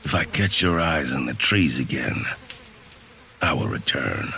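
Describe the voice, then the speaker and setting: An actor playing a terse, threatening bounty hunter in a horror western audio drama. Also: male voice, a bass voice, lively intonation, a little slow, vocal fry, bright, forceful tone, a little hiss or hum, exaggerated voice. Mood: cold menace. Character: menacing male rider, bounty hunter in the Old West.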